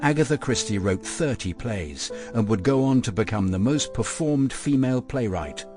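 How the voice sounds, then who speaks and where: A man's voice: medium pitch, animated, moderate pace. A man reading scripted narration and credits for a radio drama. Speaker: narrator of prologue and epilogue. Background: music.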